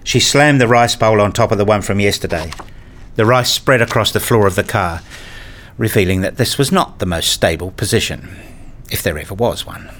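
A man reading scripted first-person narration for a radio play, telling it in a measured, literary way. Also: lowish voice, a bit bright, slight background noise.

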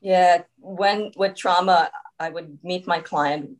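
Low-voiced woman with a subtle Trinidadian accent. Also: fairly even intonation, slow. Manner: reflective, frequent tag "right?". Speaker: coactive coach, podcast guest. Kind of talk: conversational interview.

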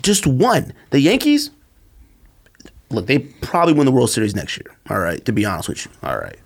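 An American man with a very lively, swooping delivery and an average-pitched voice. He talks like a podcast co-host in casual banter, slangy and emphatic, ready to tease and argue his point. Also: normal speed, creaky, breathy edge. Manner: slangy, emphatic, teasing, argumentative. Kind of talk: casual sneaker and sports banter.

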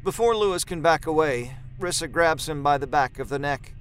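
A man's voice: fairly high pitch, animated, moderate pace. A man narrating a scripted horror story in a dramatic storytelling style.

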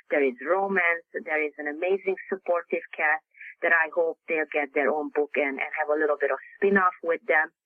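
A man from Hungary, native Hungarian speaker with a Hungarian accent, answering interview questions haltingly with many fillers and restarts. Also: fairly high pitch, no echo, narrow, tinny audio. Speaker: author guest.

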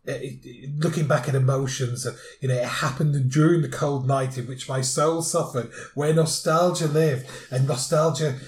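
A man's voice: mid-range voice, steady tone, moderate pace, few pauses, echoey room. A man hosting a podcast, describing things in a casual, descriptive way with lots of fillers. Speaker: podcast host. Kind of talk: casual chat.

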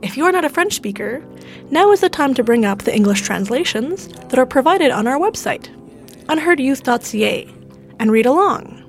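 Lively, high-voiced woman podcast host. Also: normal speed, faint music behind. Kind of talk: scripted bilingual narration.

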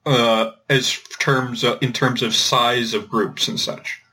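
Halting man with a bright voice. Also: mid-range voice, level tone, husky. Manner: halting, thinking aloud with repeated words. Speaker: podcast host. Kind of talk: informal explanatory discussion of linguistics.

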